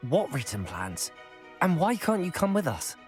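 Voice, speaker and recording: A man's voice: a little high, huge pitch swings, normal speed, music in the background. A man playing a bold, inquisitive young student wizard in a video game, with a direct, questioning manner. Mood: questioning, determined. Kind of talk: video game cutscene acting.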